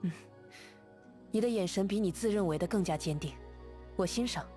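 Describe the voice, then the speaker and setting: An actress voicing a calm, composed young woman duelist in a game, speaking in a steady, measured, quietly confident way. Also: medium pitch, soft background music, lightly acted. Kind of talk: game character voice lines.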